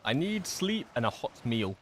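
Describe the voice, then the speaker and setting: A young man giving casual livestream commentary while gaming, chatty and self-deprecating with excitable outbursts, his voice going hoarse. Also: medium pitch, normal speed, slight background noise. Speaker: gaming streamer.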